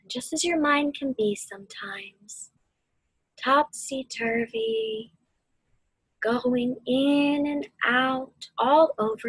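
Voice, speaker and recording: A woman mindfulness instructor speaks very slowly in a soft, airy voice that often sinks toward a whisper. Her delivery is soothing and deliberate, as in a guided meditation for children. The sound is close and dry. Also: high-pitched, vocal fry, breathy edge, no room sound, poor audio. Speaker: mindfulness instructor and host of a weekly video series.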